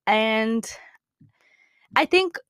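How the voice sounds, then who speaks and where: A woman's voice: fairly high pitch, lively intonation, drawn-out words, fairly bright tone, clear, resonant tone, no room sound, studio quality. A young woman hosting a casual faith podcast, congested and with a slight cough, rambling with many fillers and restarts. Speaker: podcast host. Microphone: computer setup, looking at notes.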